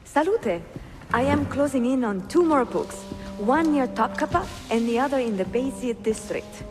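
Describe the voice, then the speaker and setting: An actress playing a bookish, spirited young Italian woman bookseller, friendly and expressive, with an Italian accent, in a dramatic game cutscene. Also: high voice, moderate pace, over music. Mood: excited.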